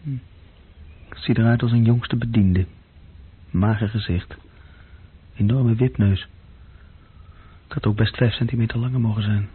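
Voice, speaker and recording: A man playing a young, self-critical office group leader musing drily in a radio play, with a husky voice. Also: lowish voice, steady tone, measured pace, long pauses. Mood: sardonic, weary. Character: young male bookkeeping group leader, self-critical, sardonic, restless dreamer. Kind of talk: radio play inner monologue. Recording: muffled phone-like old radio recording.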